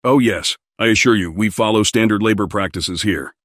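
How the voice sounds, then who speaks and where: A man's voice: on the low side, normal speed, a bit bright, no room sound, studio quality. A man playing a fussy, courteous alien colony administrator in an audio drama, with a formal, officious manner. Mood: genial.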